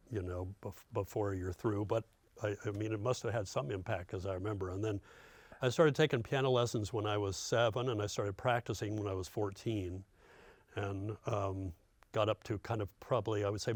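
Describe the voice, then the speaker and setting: A man's voice: lowish voice, no echo. An older American man, a veteran radio host, reminisces casually with a dry, self-deprecating humour. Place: radio studio.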